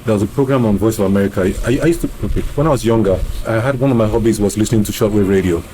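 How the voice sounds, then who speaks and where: Nigerian male musician as interview guest, low mellow voice, conversational, some background noise. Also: rapid-fire, low-quality recording. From Nigeria, grew up in Lagos. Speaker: musician and bandleader being interviewed.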